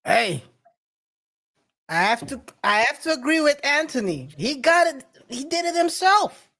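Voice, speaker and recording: A woman hosting a casual commentary show, animated and often repeating words as she talks. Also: sits high, measured pace. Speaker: show host.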